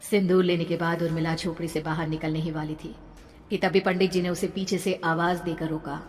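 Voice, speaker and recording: A woman's voice: lowish voice, level tone, brisk, darkish tone. A woman narrating a scripted horror story in a dramatic storytelling style. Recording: long reverb, background noise.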